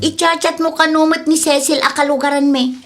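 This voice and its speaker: A woman's voice: sits high, steady tone, a little fast, soft background music, close to an everyday voice. A woman playing a jealous, fretful young girlfriend in an audio comedy-drama, accusing and whiny, with an Ilocano accent.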